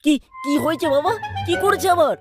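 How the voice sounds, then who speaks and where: A woman's voice: sits high, fairly quick, loud music behind the voice, lightly acted. A woman voicing an older, indignant but cautious village father-in-law in cartoon dialogue. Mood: concerned.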